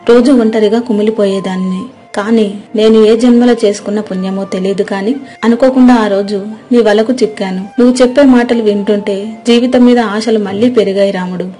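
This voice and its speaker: A woman's voice: medium pitch, fairly even intonation, fairly quick, warm tone, faint music behind, natural voice. A woman voicing a grateful, sorrowful young mermaid bride, earnest and plaintive, in a moral story. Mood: wistful, grateful.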